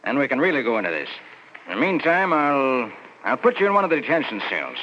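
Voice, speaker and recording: An actor playing a plain-spoken, urgent small-town police sergeant in an old-time radio horror drama. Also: male voice, mid-range voice, huge pitch swings, quick pace. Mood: reassuring. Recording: muffled, hissy old radio recording.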